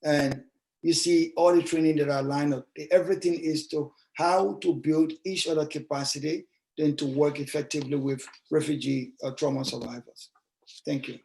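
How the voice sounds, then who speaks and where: A man on a panel speaks in a somewhat high voice. He is slow and measured and sometimes pauses to find his words. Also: steady tone, vocal fry, dark tone. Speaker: trauma service worker. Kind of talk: panel discussion talk.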